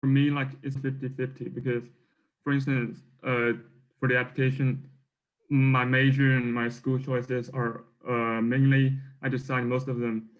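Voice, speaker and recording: A teenage boy from mainland China speaks English, pausing on frequent uhs and restarting sentences. His pitch stays flat and level throughout, and he sounds like he is on a video call. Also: rough recording. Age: teens. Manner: hesitant, with many uhs and restarts. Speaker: student panelist. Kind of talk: casual discussion.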